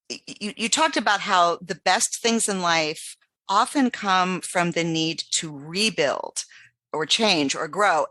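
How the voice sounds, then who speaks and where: Lively-voiced woman podcast host. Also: lowish voice, dry recording. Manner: warm, curious questioning with restarts. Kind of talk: podcast interview.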